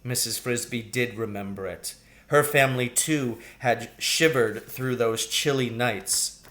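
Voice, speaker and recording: A man narrating a children's storybook in a deliberate, expressive way. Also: mid-range voice. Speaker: audiobook reader.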